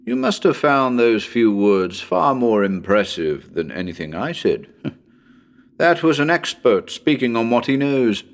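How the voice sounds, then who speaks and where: A man's voice: mid-range voice, sing-song, a little slow. A man playing a chatty, wryly uneasy radio broadcaster reporting from a haunted house in a dramatic horror reading. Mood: wry unease. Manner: conversational, wry, hesitant.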